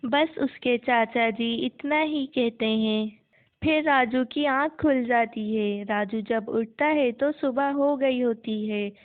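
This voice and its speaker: Woman narrator, scripted kids' story, slowish pace, thin muffled phone-like sound. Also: fairly high pitch, no echo.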